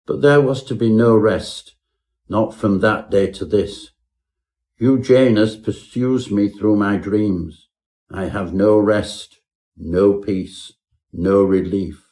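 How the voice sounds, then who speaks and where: A man's voice: fairly low pitch, takes it slowly, mellow. A man playing a pompous Edwardian professor, narrating his own parody ghost story in a florid, melodramatic way. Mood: haunted dread.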